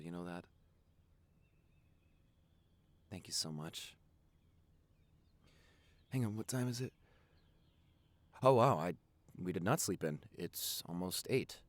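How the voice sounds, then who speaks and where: A man's voice: on the low side, a bit bright, no room sound. A man playing a doting, teasing young boyfriend in an intimate audio roleplay, rambling affectionately and stammering a little. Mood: bashful.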